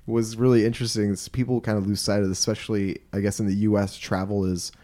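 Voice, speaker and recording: Low-voiced, upbeat American male host. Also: flat delivery, fast talker, warm tone. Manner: enthusiastic, chatty, laughs often. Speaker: podcast host. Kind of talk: casual interview chat. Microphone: studio microphone.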